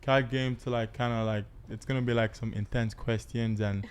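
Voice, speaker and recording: Rambling male podcast host, smooth voice. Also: mid-range voice, fairly even intonation, moderate pace. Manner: rambling, filler-heavy. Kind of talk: casual podcast hosting.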